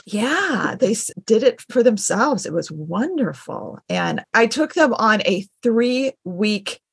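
A woman's voice: on the high side, big pitch swings, slow pace, vocal fry, breathy edge, dry recording, studio quality. A middle-aged woman, a homeschooling mother, chatting casually as the interviewer in a conversation.

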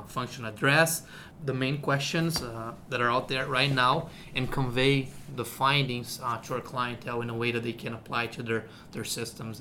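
Man with a bright tone. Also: medium pitch, a little hiss or hum. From Brazil. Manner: explanatory, many "uh" fillers and "okay?" checks. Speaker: university agronomy researcher. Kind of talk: radio interview.

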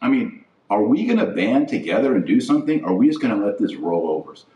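A man's voice: fairly low pitch, sing-song, quick pace, highs cut off. A man hosting a podcast as a religious commentator, delivering an impassioned, indignant opinion monologue with emphatic repetition and rhetorical questions. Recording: echoey room, slightly distant mic.

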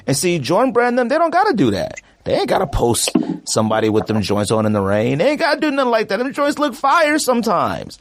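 A slangy, animated man hosting a casual podcast. His pitch leaps up and down as he talks. The sound is dry and close, with no room around it. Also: brisk, no room sound. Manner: slangy, animated, trails off with "huh?". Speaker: podcast host. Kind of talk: casual sneaker podcast chat.

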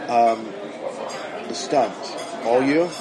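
A man's voice: medium pitch, extremely slow. A man interviewing someone casually for a podcast, often chuckling and reacting with short, agreeable remarks. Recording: busy crowded hall, crowd chatter behind.

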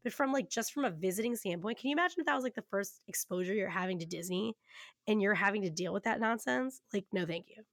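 A woman chats casually as a podcast host. Her voice sits at a medium pitch, and she talks candidly and emphatically, swearing freely. The recording is dry, with hardly any room sound. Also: fast talker, fairly bright tone, dry recording.

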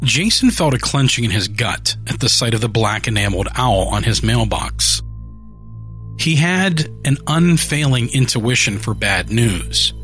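A man narrating a horror short story in a measured, steady scripted reading. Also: medium pitch, soft background music.

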